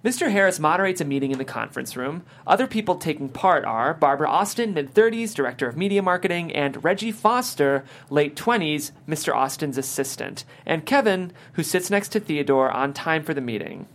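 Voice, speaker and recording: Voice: mid-range voice, very animated, brisk, hardly any pauses. A narrator reads stage directions and scene headings aloud at a script table read. The voice sits in a middle register. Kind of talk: reading screenplay stage directions.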